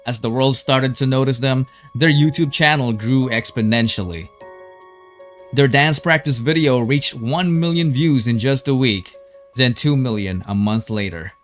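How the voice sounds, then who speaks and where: A man's voice: mid-range voice, unhurried. A man reading scripted narration as a documentary narrator. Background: background music.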